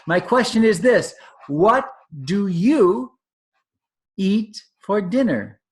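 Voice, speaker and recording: A man voicing an eager, curious young male baby elephant in a storybook read-aloud, pitching up and asking question after question. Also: slow. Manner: insistent, questioning, raised and excited.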